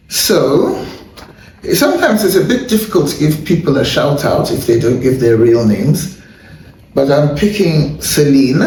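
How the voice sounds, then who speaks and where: Hoarse man talking from afar in echo. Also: medium pitch, a little mellow. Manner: candid, self-deprecating, chatty. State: hoarse, clearing throat. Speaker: host. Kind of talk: casual chat and viewer shout-outs. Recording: roomy echo, far from mic, faint noise.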